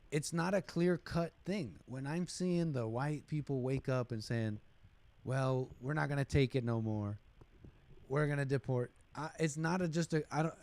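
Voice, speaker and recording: A man's voice: mid-range voice, darkish tone, no room sound. A man hosting a call-in show, talking casually and thoughtfully, hedging his points with many fillers.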